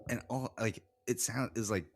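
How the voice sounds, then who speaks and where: A man's voice: medium pitch, moderate pace, a little hiss or hum. A man co-hosting a podcast in casual, slangy, informal chat. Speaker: podcast co-host.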